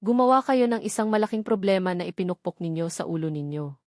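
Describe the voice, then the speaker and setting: A woman voicing a caring, frank middle-aged aunt in a novel reading, gently giving advice. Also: lowish voice, animated, fast, no echo, studio quality, natural voice. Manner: gentle, advising.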